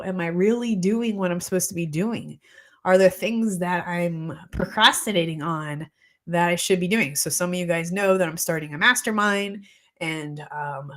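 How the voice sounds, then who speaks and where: A woman in her forties, a holistic practitioner, chatting on a live stream in a reflective way with a slightly congested voice. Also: lowish voice. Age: 40s. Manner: chatty and reflective, many fillers. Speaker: holistic practitioner hosting a weekly live show. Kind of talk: casual live-stream talk, greeting viewers. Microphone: streaming mic.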